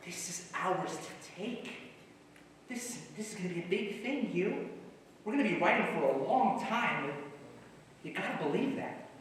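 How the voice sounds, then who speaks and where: A man acting an excitable, brash and optimistic screenwriter in a comic stage play, with an animated, emphatic delivery. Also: high-pitched, a little slow, long pauses. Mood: confident, persuasive. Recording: echoey hall, distant mic, faint noise.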